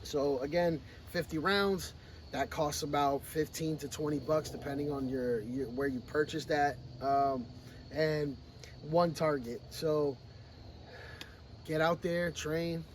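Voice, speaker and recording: A hoarse, under-the-weather man speaks loudly and bluntly outdoors at a shooting range, with a slightly high voice. A faint outdoor hum sits behind him as he talks straight to the camera, pushing his viewers to go out and train. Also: fairly even intonation, takes it slowly. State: sick, losing his voice. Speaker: firearms training vlogger. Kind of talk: casual talk to camera at a range.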